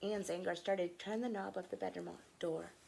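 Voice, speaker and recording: A woman's voice: lowish voice, steady tone, normal speed, gravelly, darkish tone, slight background noise. A woman reading a children's story aloud in a dramatic, emphatic, teacherly way, with explanatory asides. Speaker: read-aloud host.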